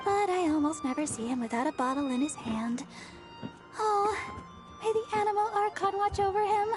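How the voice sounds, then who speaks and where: A woman's voice: very high voice, moderate pace. A woman voicing a sweet, earnest young church singer, girlish and eager, in a video game with music behind her. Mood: wistful concern.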